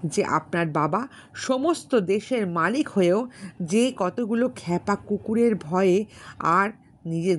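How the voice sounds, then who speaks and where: A woman voicing a brash, insistent young gentleman in an audiobook story, repeating his points with emphasis. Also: fairly low pitch, slightly rough, exaggerated voice. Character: brash, pushy, cocksure young gentleman suitor. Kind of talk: dramatised literary audiobook dialogue.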